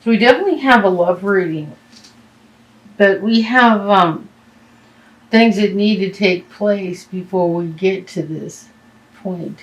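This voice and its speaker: Slow, halting woman reading tarot. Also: mid-range voice, rough recording. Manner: halting, many fillers and restarts. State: losing her voice. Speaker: tarot reader.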